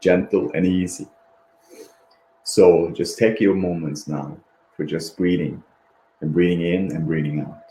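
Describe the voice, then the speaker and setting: A man leading a guided meditation in a gentle, repetitive, unhurried manner, with long pauses and a background. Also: sits low, fairly even intonation. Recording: roomy echo, faint background noise.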